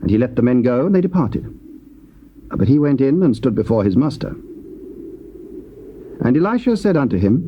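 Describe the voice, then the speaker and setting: An English man reading Bible narration in a steady, formal way on a lo-fi tape. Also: medium pitch, normal speed, pauses often, slight background noise. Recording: dull lo-fi tape.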